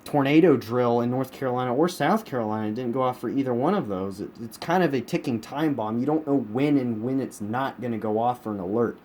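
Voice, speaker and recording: A man from the United States giving a casual, unscripted review as a collector, often repeating himself for emphasis. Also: mid-range voice. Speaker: radio collector presenting a collection. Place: indoors in a home room. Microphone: handheld camera, speaker behind it. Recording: clear background room noise.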